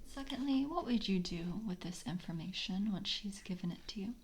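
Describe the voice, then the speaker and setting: A woman playing a polite, composed vampire coven member in an ASMR roleplay, speaking softly and breathily, often in a whisper, with a gentle, measured interviewer's manner. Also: medium pitch, lively intonation, a little hiss or hum, lightly acted. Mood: calm, businesslike. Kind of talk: soft-spoken ASMR roleplay.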